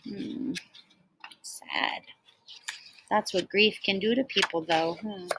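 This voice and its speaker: A woman reading a children's storybook aloud in an expressive, animated way, like a teacher talking to young listeners. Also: medium pitch, slow, background noise.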